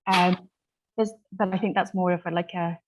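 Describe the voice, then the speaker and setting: A woman speaking off the cuff as a support worker in a group discussion, earnest and halting as she pieces her sentences together. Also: lowish voice, steady tone, background noise. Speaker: support worker, survivor engagement officer. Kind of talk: unscripted contribution to a group discussion.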